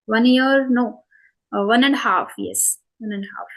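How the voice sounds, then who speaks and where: A woman's voice: fairly high pitch, normal speed, fairly bright tone, rough recording. A woman in her twenties with an Indian English accent, answering interview questions about her channel in a thoughtful way, with many fillers and self-corrections. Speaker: spoken English channel host.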